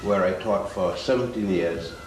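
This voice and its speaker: An older man from Ethiopia, giving a public lecture in a slow, halting way with many pauses. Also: low voice. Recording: echoey hall from far off, noisy.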